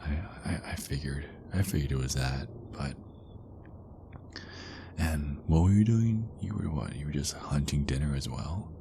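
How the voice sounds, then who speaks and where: A man playing a tender, insecure werewolf boyfriend in an intimate ASMR roleplay, speaking in a hushed, halting way that often slips into whispers. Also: rumbling low, lively intonation, vocal fry, noisy background, full character voice. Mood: fond, reminiscing.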